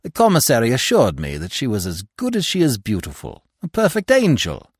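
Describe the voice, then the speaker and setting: A man's voice: medium pitch, huge pitch swings, a little fast, husky, no room sound, clean studio sound, pitched above the performer's own voice, full character voice. A man voicing a detective's susceptible male friend, an earnest, easily shocked romantic with a self-conscious manner, in an audiobook. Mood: indignant. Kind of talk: audiobook character voice.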